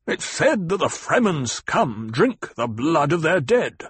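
Man voicing a stiff, rasping banker, pitched up. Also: sing-song, dry recording, heavily acted. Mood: angry, scornful. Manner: stiff, slow, pointed delivery. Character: stiff, resentful banker, haughty then cowed, male.

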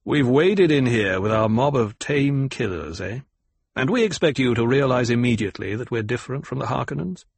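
A commanding nobleman acted by a male narrator, husky and closely, dryly recorded. He is shrewd and composed, giving courteous orders and questions in a measured audiobook performance. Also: medium pitch, animated, no echo. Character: calm, commanding, shrewd noble duke, a grown man. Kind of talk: audiobook character acting.

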